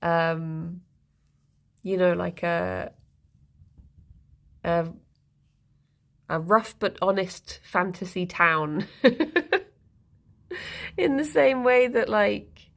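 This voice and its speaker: A woman's voice: on the low side, very slow, vocal fry, pauses often. A woman streaming live, chatting casually with her viewers and answering their messages in a rambling, giggly way. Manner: chatty and rambling, laughs often. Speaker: streamer reading chat.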